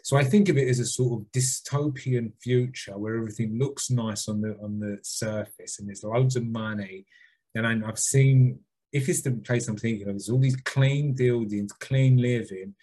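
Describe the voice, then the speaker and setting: A man's voice: medium pitch, fairly even intonation, moderate pace, a little mellow, husky, low-quality recording. A man co-hosting a podcast, reading out a listener email and then rambling, hedging and swearing freely in casual chat. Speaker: podcast co-host.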